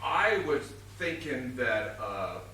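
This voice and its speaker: A man playing a bossy, sardonic and slow-witted police inspector in a comic stage play, exasperated and halting, with heavy emphasis. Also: medium pitch, very animated, sharp tone, exaggerated voice. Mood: slowly puzzling. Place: theatre stage, picked up from a distance. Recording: distant echoey theatre stage recording, faint noise.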